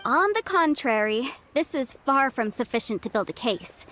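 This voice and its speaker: An actress playing a confident young legal advisor in a game, brisk, self-assured and a little playful. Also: female voice, sits high, animated, fairly bright tone. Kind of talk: game voice acting. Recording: studio voice over game music.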